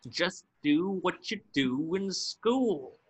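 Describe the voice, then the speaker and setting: A man's voice: high voice, animated, takes it slowly, husky, heavily acted. A man playing a grumpy, exasperated cartoon squid cashier in a table reading, irritable and sarcastic. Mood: impatient.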